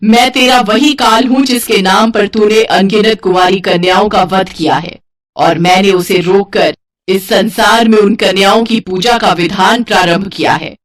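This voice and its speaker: A woman's voice: mid-range voice, fairly even intonation, unhurried, recorded from a distance, close to an everyday voice. A woman voicing a fierce, righteous warrior goddess in a mythological cartoon story, commanding and solemn. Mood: righteous fury. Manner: commanding, solemn, theatrical proclamations.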